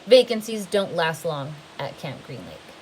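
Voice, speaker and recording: A woman's voice: low voice, big pitch swings, a little slow, darkish tone, a little hiss or hum, poor audio. A woman reading a novel aloud in a plain way, sometimes stumbling and restarting a word. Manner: plain, occasionally restarting words. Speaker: reader.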